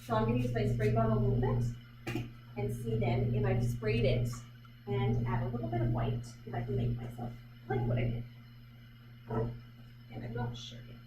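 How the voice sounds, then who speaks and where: A woman's voice: medium pitch, animated, moderate pace, long pauses. A woman artist leading a live painting demonstration, thinking aloud in a chatty, hesitant way with many fillers. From Vancouver Island, Canada. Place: art gallery. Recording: echoey room from far off, loud background noise.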